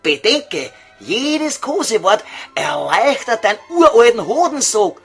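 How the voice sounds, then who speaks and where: High slow viennese devil, sly and vulgar, comedy sketch with faint music behind. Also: male voice, big pitch swings, gravelly, a bit bright, breathy edge, exaggerated voice. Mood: sly coaxing. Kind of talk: comic radio play sketch.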